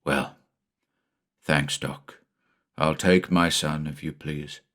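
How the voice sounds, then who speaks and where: A man voicing a grieving farmer father set on vengeance, speaking low, quiet and hard. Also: fairly even intonation, slow pace, heavily acted. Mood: grim.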